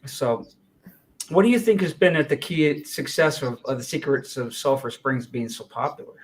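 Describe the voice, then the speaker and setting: This is a male host talking with a guest in a relaxed interview. He is warmly affirming and often trips over his words, repeating a phrase before he carries on. His voice sits at an ordinary middle pitch. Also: normal speed. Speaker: talk show host. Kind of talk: casual interview.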